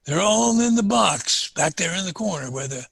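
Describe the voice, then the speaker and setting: A kindly old storekeeper for a family radio play. The voice is high and raspy with a creaky edge, folksy and hearty, with a wistful streak. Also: male voice, expressive, moderate pace, fairly bright tone, dry recording, studio quality, full character voice. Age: older. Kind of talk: family radio drama acting.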